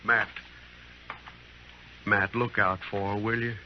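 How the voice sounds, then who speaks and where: A man's voice: lowish voice, flat delivery, slow pace, a little hiss or hum. An actor playing an anguished, proud frontier man accused of a crime, plain-spoken and earnest, in a muffled old radio western. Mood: sad, subdued. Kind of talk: old-time radio acting.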